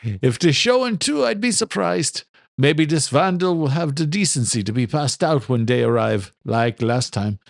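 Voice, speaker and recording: A man putting on a mischievous older Irish father whose thick brogue rolls out gravelly and lively, full of teasing. He jokes with a playful twinkle, close and dry on the mic, in a storybook reading. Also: on the high side, moderate pace, dry recording, voice pushed up. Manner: playful, joking.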